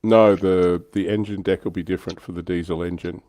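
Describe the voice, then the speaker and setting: Male hobby streamer, low-pitched, close dry sound, conversational panellist delivery. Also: fairly even intonation, a little fast, warm tone, no room sound. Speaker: livestream panellist on a tabletop wargaming show. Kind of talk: casual hobby discussion.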